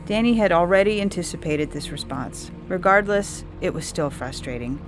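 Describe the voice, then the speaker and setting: Low-voiced woman narrating fiction over music. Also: lively intonation, moderate pace. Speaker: story narrator. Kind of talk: scripted fiction narration for podcast. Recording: music bed under the narration.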